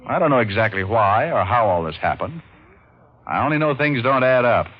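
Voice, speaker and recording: A man playing a sharp, wisecracking private detective in casual, slangy old-time radio style. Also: medium pitch, animated, moderate pace, natural voice. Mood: insistent. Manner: casual, slangy, reasoning aloud. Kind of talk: 1940s-50s radio detective acting and narration. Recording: muffled, noisy old radio recording.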